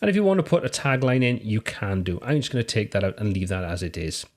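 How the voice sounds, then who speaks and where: Lively British man explaining software steps. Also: fairly low pitch, quick pace. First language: English. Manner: friendly step-by-step explaining, lively intonation. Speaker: tutorial host. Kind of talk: screen-recorded software tutorial.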